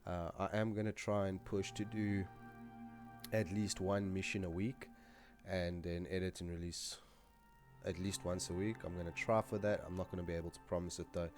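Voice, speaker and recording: Gaming host, male, deep and nasal, under the weather, loose casual delivery. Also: moderate pace, music in the background. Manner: relaxed, chatty, loose with fillers. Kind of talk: casual video outro to viewers.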